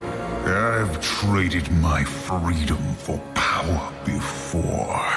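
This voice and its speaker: An actor playing a bitter, brooding demon hunter in a video game cinematic, gravelly and grandiose in delivery. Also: male voice, low voice. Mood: grim. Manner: slow, grandiose, dramatic delivery. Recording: game audio under loud music.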